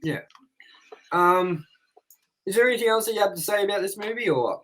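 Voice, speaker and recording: A man's voice: high voice, brisk, a bit bright, poor audio. A man co-hosting a casual movie review chat, rambling with lots of fillers and "you know". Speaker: co-host.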